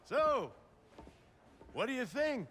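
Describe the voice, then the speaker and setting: Older businessman dad, hearty and effusive, bright swooping delivery, unhurried. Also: slight background noise, full character voice. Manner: hearty, booming greetings with laughter. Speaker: father and company head. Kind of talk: video game cutscene acting.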